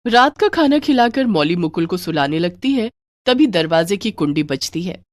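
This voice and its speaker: A woman's voice: fairly low pitch, very animated, normal speed, no room sound, studio quality. A woman narrating a scripted moral bedtime story for children.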